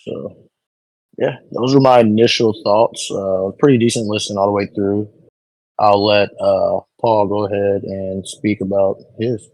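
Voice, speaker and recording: Male podcast host, low-ish voice, hesitant and rambling, dry close recording. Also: level tone, measured pace, darkish tone, no echo, low-quality recording. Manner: frequent ums, hesitant and rambling. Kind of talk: casual album review chat.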